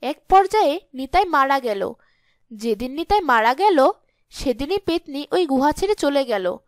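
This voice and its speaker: A woman's voice: high voice, very animated, a little slow, no room sound, studio quality. A woman narrating a scripted cartoon fairy tale as a storyteller.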